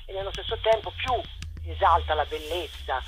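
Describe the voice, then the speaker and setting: A woman interviewed on radio as a theatre director, talking effusively in a halting, digressive storytelling way. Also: lowish voice. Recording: dull, noisy radio phone line.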